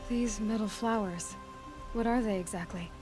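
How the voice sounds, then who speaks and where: An actress playing a direct, sceptical young huntress heroine in a video game, questioning in a plain-spoken way. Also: female voice, medium pitch, normal speed, music in the background, lightly acted. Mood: curious. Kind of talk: video game dialogue acting.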